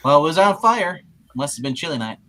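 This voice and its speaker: A man talks quickly in a slightly high voice during a casual panel chat. He is inquisitive and likes to joke. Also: big pitch swings, a crowd in the background. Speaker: panelist on a comic-book show.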